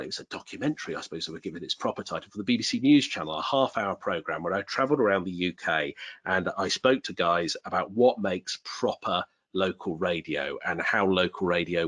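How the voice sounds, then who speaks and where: A man with a lowish voice gives a relaxed, chatty talk to his audience. The sound is close and dry, with no room in it. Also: dry recording. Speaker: presenter. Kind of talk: casual talk to an online audience.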